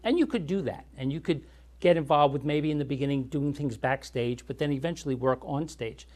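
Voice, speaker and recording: A man's voice: a little high, a little fast, darkish tone. A man hosting a classic movie show, chatting with and interviewing young guests in an explanatory, friendly way. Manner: friendly, explanatory, asks follow-up questions. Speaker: host.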